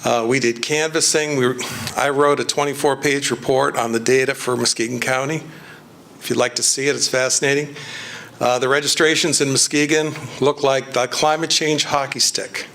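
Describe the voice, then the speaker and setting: A man's voice: mid-range voice, fairly even intonation, normal speed, fairly bright tone. A man speaking to a meeting in a blunt, matter-of-fact way about challenging ballots. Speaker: former county commissioner candidate, poll challenger. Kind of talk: casual talk to a meeting.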